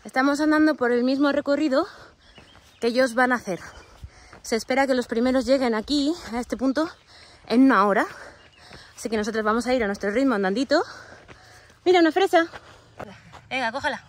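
A woman from Cartagena, Spain, chatting excitedly while she hikes up a mountain trail and repeats her words when something catches her eye. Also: high-pitched, fairly quick, long pauses. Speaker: vlogger on a hike. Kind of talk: casual walking vlog. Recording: outdoor recording, faint background noise.

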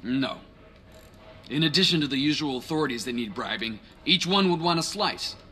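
A man's voice: a little high, animated, normal speed, sharp tone, breathy edge, full character voice. A man playing an irascible, shrewd crime boss in a video game cutscene, articulate and commanding. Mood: firm, businesslike.

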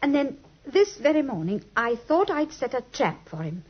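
A woman's voice: sits high, big pitch swings, normal speed, breathy edge, full character voice. An actress playing a genteel, earnest young British lady client, speaking expressively in the manner of 1950s radio drama. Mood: earnest, uneasy. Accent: British English. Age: young adult. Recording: muffled, lo-fi 1950s radio recording.

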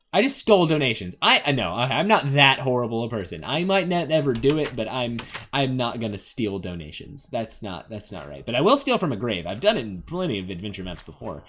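Young male gaming host: playful, rambling Let's Play commentary with a lively, swinging pitch. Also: normal speed. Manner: playful, rambling, talks to game characters and himself. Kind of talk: casual Let's Play commentary on an adventure game.

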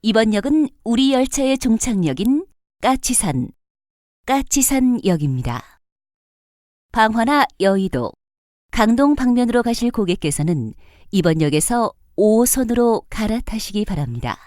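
A woman's voice: on the high side, big pitch swings, measured pace, darkish tone, dry recording, clean studio sound. Melodic, polite female subway announcer. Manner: polite, formal. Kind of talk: scripted transit announcement.

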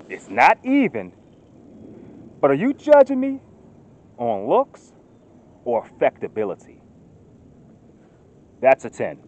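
Man, thirties, outdoor vlog, lively swooping voice, slow unhurried talk, dull lo-fi phone sound. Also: medium pitch. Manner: chatty, gets loud and excited showing off. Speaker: outdoors vlogger. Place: outdoors in woods. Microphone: phone camera held at arm's length.

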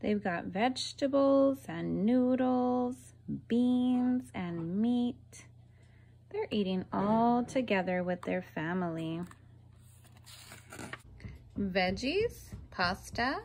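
Slow, expressive woman reading aloud to children. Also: mid-range voice, slight background noise. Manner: deliberate, pauses between phrases, asks listeners questions. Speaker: teacher reading a picture book aloud to children. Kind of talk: expressive children's picture-book read-aloud.

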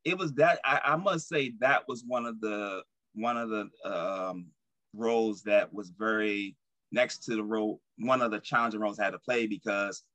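A man's voice: on the low side, normal speed, a bit bright, no echo. A young man talking informally and earnestly as an interview guest on a video call, often repeating his phrases. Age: young adult. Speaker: stage actor and guest. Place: indoor room at home, on a video call.

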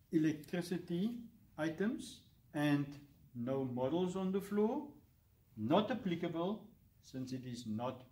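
Slow, middle-aged man, off-mic. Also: on the high side, dark tone. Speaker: science fair committee presenter. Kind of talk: scripted instructional explanation. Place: classroom or lab with display boards, indoors. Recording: distant camera microphone.

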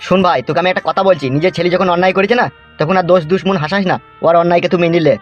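Cartoon village uncle, acted by a man: henpecked peacemaker, coaxing, high and very quick. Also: steady tone, lightly acted. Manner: coaxing, advising. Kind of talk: comic cartoon dialogue. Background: faint music.

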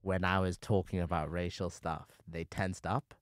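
A young man from Zimbabwe hosting a podcast in a small studio, animated and quick to laugh, talking into a boom mic. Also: dry recording. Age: young adult. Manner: animated, laughs often, gestures while talking. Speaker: podcast host. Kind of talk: casual podcast chat. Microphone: boom mic on arm, headphones.

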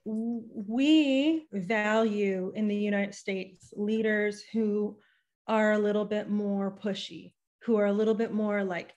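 A woman's voice: medium pitch, slow pace. A young woman speaking as a podcast guest over a video call, talking things through thoughtfully with frequent restarts, into a studio microphone at home. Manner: thoughtful, restarts often, animated with hand gestures. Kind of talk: casual interview conversation. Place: home room with bookshelf and patterned wall hanging. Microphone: studio microphone on a boom arm.

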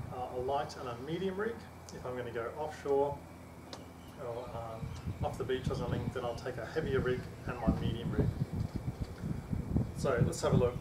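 A middle-aged man hosting a video, explaining gear to camera in a plain-spoken, measured way. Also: medium pitch. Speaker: host of a kayak fishing video channel. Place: outdoors in a suburban backyard. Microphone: camera mic from a few metres. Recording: distant, echoey, noisy outdoor camera audio.

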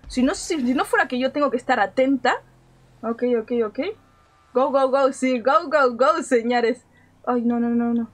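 High-pitched young woman streamer, Mexican-tinged Spanish accent. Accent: Spanish with Mexican inflections. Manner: animated, repeats phrases rapidly, complaining slangily. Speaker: game streamer. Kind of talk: casual live gameplay commentary.

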